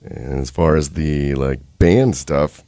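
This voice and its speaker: Deep-voiced male musician guest, 30s, mellow and unhurried, dry studio sound. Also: dry recording. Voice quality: deep speaking voice. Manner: laid-back, easygoing and unhurried. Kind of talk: casual interview chat. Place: radio studio.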